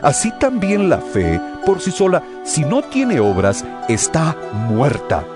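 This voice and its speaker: A man's voice: a little high, wildly swooping pitch, slow, exaggerated voice. A man playing an earnest apostle in a dramatized scripture reading, exhorting his brethren with emphatic, rhetorical, admonishing oratory. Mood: earnest, challenging. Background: music.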